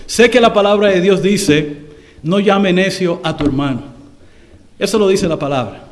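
Pastor guy, high voice, preaching to the church, gets loud and slow on the big points. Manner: preaching to a congregation, swells louder and slows for emphasis. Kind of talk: sermon.

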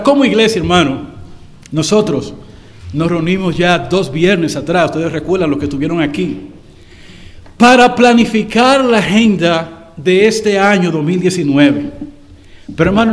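A man preaching a sermon to his congregation, swelling louder and slowing down for emphasis. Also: high voice. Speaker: pastor.

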